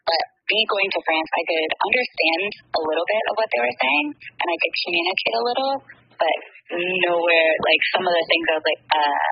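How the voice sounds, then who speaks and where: Canadian woman guest, husky and breathy, chatty with fillers, dull lo-fi call from a train. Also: fairly low pitch, animated, a little fast, no room sound. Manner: chatty, laughs often, many ums. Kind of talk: casual interview chat. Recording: muffled lo-fi call audio.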